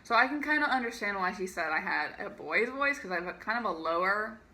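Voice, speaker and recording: A woman's voice: expressive, fairly quick, bright, forceful tone. A young woman telling a personal story to camera in a chatty, peppy way, laughing often and using lots of fillers. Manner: peppy raised voice over a lower natural one. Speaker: vlogger. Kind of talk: casual storytime monologue.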